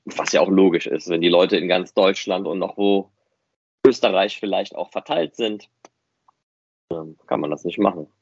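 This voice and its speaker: Low-pitched man, dry close sound. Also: moderate pace, husky, no echo, dull audio. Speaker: podcast host and fan audio drama director. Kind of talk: casual podcast conversation.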